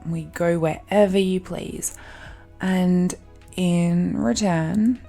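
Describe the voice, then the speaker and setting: A woman's voice: on the low side, fairly even intonation, very slow, creaky, warm tone, faint music behind, heavily acted. A woman playing an affectionate, possessive dragon-blooded fiancée in an intimate romantic audio roleplay, murmuring tenderly and a little hesitantly. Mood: coaxing, affectionate.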